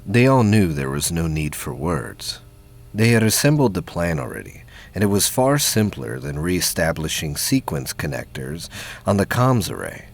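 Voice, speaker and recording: A man's voice: deep voice, vocal fry, a little hiss or hum. A man reading scripted narration for a science fiction audio drama.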